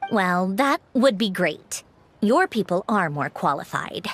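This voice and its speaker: An actress playing a composed, candid woman in command in a video game, speaking in a dry, measured way. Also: mid-range voice, big pitch swings, fairly bright tone. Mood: calm. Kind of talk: video game cutscene acting. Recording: background music under the voice.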